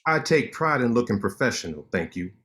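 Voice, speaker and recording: A man reads a boastful, sharp-tongued lawyer who needles his old friend without letup. His delivery is quick and bantering, with a mid-range male voice. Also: medium pitch, very animated. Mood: teasing. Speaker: actor in a staged reading. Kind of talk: staged play reading.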